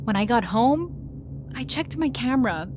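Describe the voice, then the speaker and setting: A woman playing a haunted wildlife photographer, narrating a first-person ghost story with suspenseful, deliberate pauses. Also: fairly high pitch, animated, a little mellow, a little hiss or hum, natural voice. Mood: shaken, ominous. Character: haunted young woman wildlife photographer recounting a terrifying encounter.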